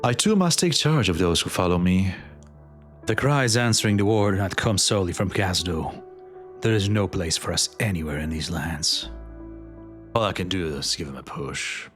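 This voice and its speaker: A weary, resolute fantasy game warlord played by a man speaks in a deep voice. He is grave and fatalistic, weighing each phrase deliberately over faint background music. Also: fairly bright tone. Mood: stern resolve. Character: grim, fatalistic male war leader, weary and resolute. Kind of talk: dramatic fantasy game dub acting.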